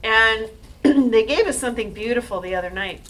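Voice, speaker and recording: A woman's voice: fairly high pitch, moderate pace, fairly bright tone, recorded from a distance, low-quality recording. A woman presenting live to an audience, explaining ideas in a plain-spoken way.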